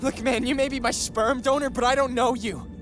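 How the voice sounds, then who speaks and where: A man's voice: very high-pitched, steady tone, a little fast, over music. A man playing an angry, distrustful young man in a horror game, agitated, confrontational and emotionally raw. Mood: angry defiance. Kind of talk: horror game cutscene acting.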